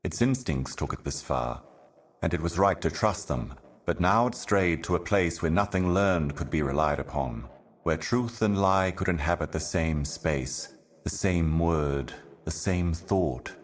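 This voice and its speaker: Extremely deep, ancient cosmic envoy. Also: male voice, lively intonation. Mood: brooding. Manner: grave, brooding, measured, portentous. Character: ancient solemn otherworldly envoy, weary eternal servant of cosmic darkness. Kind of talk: scripted game monologue, cryptic poetic narration.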